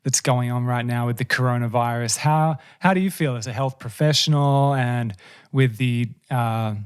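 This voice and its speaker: A man hosting a podcast, asking earnest, thoughtful questions in a relaxed conversational way. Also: medium pitch, normal speed, clear, resonant tone. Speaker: podcast host. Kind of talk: casual interview chat.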